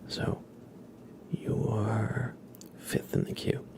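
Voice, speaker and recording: Low-voiced guy whispering on an asmr stream, breathy n hushed, talking to chat. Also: flat delivery, drawn-out words. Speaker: ASMR livestreamer. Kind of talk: ASMR, live chat with viewers.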